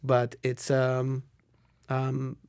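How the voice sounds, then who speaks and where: A man's voice: mid-range voice, completely flat delivery, very slow, gravelly, darkish tone, clear, resonant tone, no room sound, clean studio sound. Emphatic middle-aged male guest, native Russian speaker. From Russia and Israel. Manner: opinionated, emphatic repetition of phrases. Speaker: interview guest, lawyer. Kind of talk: conversational interview answers.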